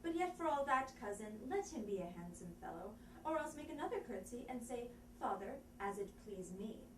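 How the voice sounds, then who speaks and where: A woman's voice: on the high side, expressive, moderate pace, natural voice. A woman acting a witty, defiant young unmarried heroine in a playful, declamatory Shakespearean stage scene. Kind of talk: stage dialogue, scene reading. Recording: roomy, distant, faint noise.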